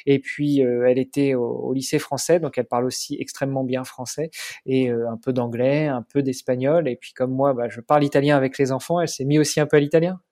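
A man in his forties, a podcast guest chatting casually in an interview, pausing often with fillers and restarts. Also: mid-range voice, fairly even intonation, dark tone. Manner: hesitant, many fillers and restarts.

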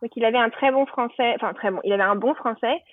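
A woman's voice: fairly high pitch, fast, no room sound. Chatty woman on a thin phone-like line. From Normandy, France. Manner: chatty, anecdotal, thinking aloud. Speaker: podcast guest. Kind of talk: casual personal storytelling. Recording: thin phone-like band, dry.

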